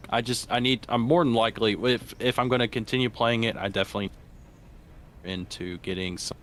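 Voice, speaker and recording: A man's voice: mid-range voice, steady tone, a little fast, fairly bright tone, a little hiss or hum. A man chatting casually on a voice call during a gaming stream, often restarting his sentences. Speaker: guest streamer.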